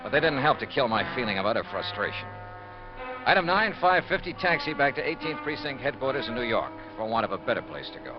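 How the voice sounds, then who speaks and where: An actor playing a sharp, persistent insurance investigator in 1950s American radio drama, with brisk, clipped, wry delivery. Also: male voice, mid-range voice, sing-song, music in the background, lightly acted. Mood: dry narration. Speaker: lead actor narrating and playing detective. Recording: muffled old radio recording with noise.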